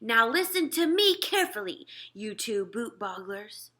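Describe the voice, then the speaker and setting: Lady reading as big friendly giant, muddles his words, bright and swoopy, eager storybook character. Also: on the high side, sing-song, a little slow, pitched above the performer's own voice, heavily acted. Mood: instructive. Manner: garbled folksy grammar with invented words. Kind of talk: children's storybook read-aloud.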